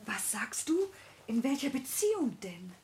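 An actress playing a sensitive, overwrought upper-class daughter in a classic radio play, plaintive and agitated. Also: female voice, on the high side, very animated, a little slow, slight background noise. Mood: shocked. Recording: echoey old radio studio recording.

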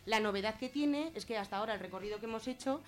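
A woman with a Spanish accent from Spain gives an informal explanation as a radio guest, colloquial and often halting as she thinks aloud. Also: a little high. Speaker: guest explaining gender-violence legislation on a radio show.

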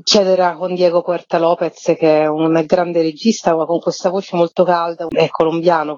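A woman's voice: on the low side, darkish tone, dry recording, dull audio. A woman giving an effusive, articulate and expansive explanation in a radio interview as a playwright. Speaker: playwright interviewed about her show.

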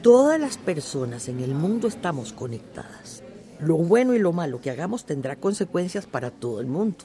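A supportive village teacher in a radio drama. Her mellow, lowish voice is expressive, with a Central American accent. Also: normal speed.

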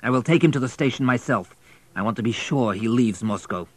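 A man reading an audiobook, voicing a stern, sneering small station master who gives orders in a raised, forceful voice. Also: medium pitch, brisk, dry recording, close to an everyday voice. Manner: commanding, sneering.

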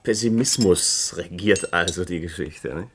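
An actor playing a skeptical, probing radio presenter in a German radio play, talking informally with hesitant, questioning phrases. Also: male voice, low-pitched, measured pace, slight background noise. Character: skeptical, probing male radio presenter chatting over coffee.

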